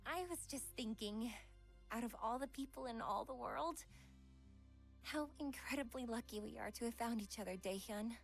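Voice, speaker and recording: A woman's voice: on the high side, normal speed, vocal fry, soft background music. A woman voicing a refined, affectionate young psychologist in a visual novel game, with genteel, careful phrasing. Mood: loving.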